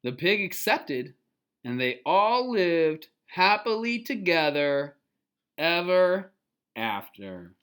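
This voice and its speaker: A storybook reader giving an expressive children's read-aloud, pausing to explain and ask questions. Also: medium pitch, smooth.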